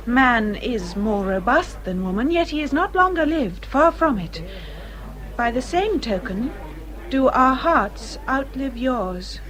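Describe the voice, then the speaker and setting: A woman playing a gentle, self-effacing English gentlewoman in a period literary drama, speaking hesitantly and politely. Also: high-pitched, expressive, takes it slowly, heavily acted, rough recording. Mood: earnest. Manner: hesitant, soft-spoken, polite.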